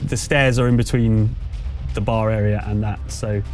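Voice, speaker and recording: A man's voice: fairly low pitch, fairly even intonation, normal speed, a little mellow. A man acting as game master, narrating a tabletop game in a conversational, slightly hesitant way while explaining what happens. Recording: music playing under the voice. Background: background music.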